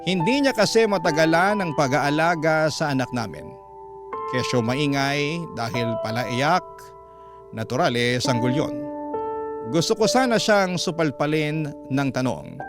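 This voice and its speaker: A man with a Filipino accent narrating a personal story dramatically, as a radio storyteller reading a letter. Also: medium pitch. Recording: loud music under the voice. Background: loud background music.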